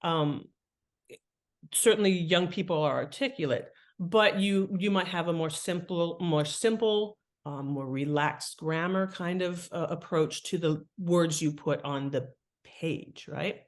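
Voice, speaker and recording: A woman guest explaining her craft in conversational interview talk, with an animated manner. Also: lowish voice, measured pace. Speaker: guest, fiction writing author.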